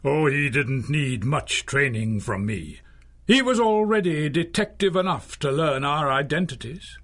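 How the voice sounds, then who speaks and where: An old masked magician, long retired from crime fighting, for a radio adventure. His voice is husky and a little high for a man. He speaks slowly and formally, with theatrical flourish and dry wit. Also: very animated, a bit bright, exaggerated voice. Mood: measured, reflective. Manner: theatrical, dryly witty, formal. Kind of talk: 1930s-style radio drama acting.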